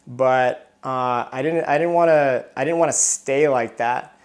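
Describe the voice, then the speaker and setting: A man's voice: medium pitch, measured pace. A man in his thirties from Southern California, a retired Marine telling his life story in a casual interview, often restarting and repeating words.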